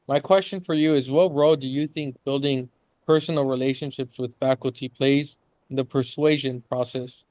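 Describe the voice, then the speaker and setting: A man on a student committee asking polite, measured questions. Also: medium pitch, a little mellow, no echo. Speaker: committee member. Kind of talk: formal questioning.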